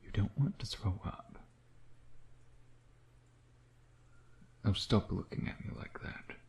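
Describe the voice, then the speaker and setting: Man acting as a male vampire, low mellow voice, unhurried, sardonic, flirtatious, intimate ASMR roleplay, breathy whispered asides. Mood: bossy, caring. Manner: sardonic, teasing, slow drawl dropping into breathy whispers. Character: teasing, smug male vampire, flirtatious enemy with a caring streak.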